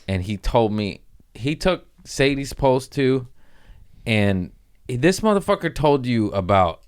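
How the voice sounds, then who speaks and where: A man's voice: fairly low pitch, smooth. A man hosting a casual comic podcast, telling rambling stories in slangy, profanity-heavy talk. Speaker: podcast host.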